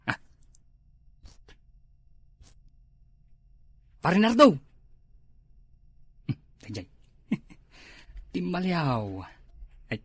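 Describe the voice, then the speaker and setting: A man playing a lazy, girl-crazy village husband in an Ilocano comedy drama, with a husky voice and an Ilocano accent. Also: mid-range voice, fairly bright tone, no echo, heavily acted. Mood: grumbling, wheedling. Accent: Ilocano, northern Philippines.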